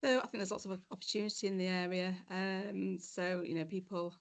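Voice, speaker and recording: A woman's voice: medium pitch, level tone, fairly quick. A woman who works in education and training, answering interview questions in an upbeat, chatty way with many filler sounds.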